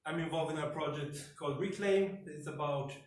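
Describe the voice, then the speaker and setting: A man's voice: fairly high pitch, normal speed. A man speaking as a researcher giving a conference presentation. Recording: very echoey room, distant mic, noisy.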